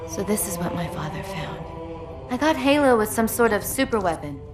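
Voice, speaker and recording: A woman's voice: mid-range voice, lively intonation, fairly quick, over music, lightly acted. Brief: determined commander, voiced by a woman, firm, clipped orders. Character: young determined starship commander.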